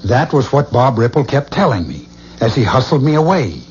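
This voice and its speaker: An American actor playing a haunted married man who fears he is a killer, speaking gravely and haltingly in 1970s radio drama style. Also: mid-range voice, very animated, darkish tone, close to an everyday voice. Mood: tense, confiding. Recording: muffled, noisy 1970s radio drama recording.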